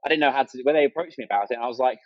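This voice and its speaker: A man's voice: medium pitch, racing, no room sound, highs cut off. A man chatting casually and enthusiastically as a guest on a podcast. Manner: chatty and enthusiastic, acts out remembered exchanges. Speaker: interview guest, amateur runner and content creator.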